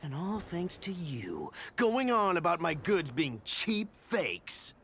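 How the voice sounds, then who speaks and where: An actor playing a crooked, smug merchant in a video game, haggling in a theatrical, scornful manner. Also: male voice, on the high side, sing-song, slow, gravelly, fairly bright tone, slight background noise, full character voice. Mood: indignant. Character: indignant, pedantic-hating crooked male merchant, scheming and smug. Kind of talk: video game character acting.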